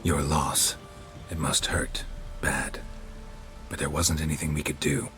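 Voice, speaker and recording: Very deep, deadpan monster hunter. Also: male voice, a little slow, faint music behind. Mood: flat, matter-of-fact. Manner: deadpan, laconic, clipped. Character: stoic, terse, battle-hardened monster hunter. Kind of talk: restrained video game acting.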